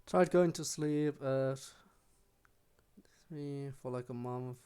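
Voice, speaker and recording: Casual, rambling game streamer, mid-pitched voice. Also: slow, mellow, smooth. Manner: rambling, chatty asides to viewers. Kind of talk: casual live stream chat while playing a game.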